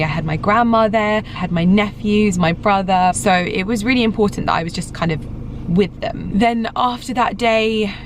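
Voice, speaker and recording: A young English woman vlogger in a casual, rambling update, her voice slightly croaky after being ill. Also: lowish voice, background noise. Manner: chatty, rambling, frequent restarts and fillers. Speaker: lifestyle vlogger. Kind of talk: casual vlog update to camera.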